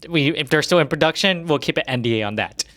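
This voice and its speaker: A man's voice: on the high side, expressive, quick pace, fairly bright tone. A man hosting a casual interview, speaking in an earnest, effusive and informal way. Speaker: podcast host, interviewer.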